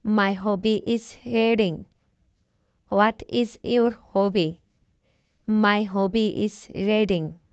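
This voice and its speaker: A woman reads simple practice dialogues at an extremely slow, deliberate pace. Her voice is smooth and clear, recorded dry and close with no room sound. The audio is slightly dull and lo-fi. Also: mid-range voice, fairly even intonation, no echo. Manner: deliberate, evenly paced beginner drill reading. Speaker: English lesson voice. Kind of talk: slow scripted conversation drill.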